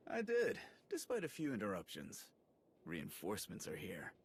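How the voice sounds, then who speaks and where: Casting: friendly sci-fi game slicer, chatty and wry, animated delivery on the lower side. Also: male voice, normal speed, a little hiss or hum. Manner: casual, wry, rambling with asides. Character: eager, chatty male soldier and tech slicer, friendly and quippy. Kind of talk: video game cutscene acting.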